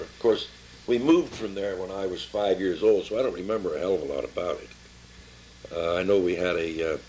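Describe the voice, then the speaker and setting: An elderly American man in his seventies or older reminiscing informally about his life, halting and plain-spoken as he searches his memory. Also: low-pitched, a little mellow, slight background noise, poor audio. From Pittsburgh area, Pennsylvania, United States. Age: 70s or older. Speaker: family member recording his life story for a relative.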